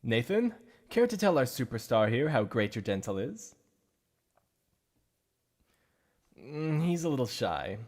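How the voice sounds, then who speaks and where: A man voicing a shy, obsessive male supervillain in an ASMR roleplay, soft-spoken and stammering over his slips of the tongue. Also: mid-range voice, big pitch swings, normal speed. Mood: coaxing.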